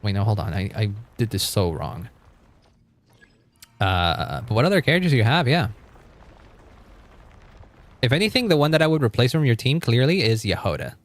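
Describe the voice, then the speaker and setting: A man's voice: mid-range voice, very animated, normal speed, long pauses. A man live-streaming a game, chatting casually and thinking aloud in an informal way, with casual swearing. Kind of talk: casual live-stream chat while gaming.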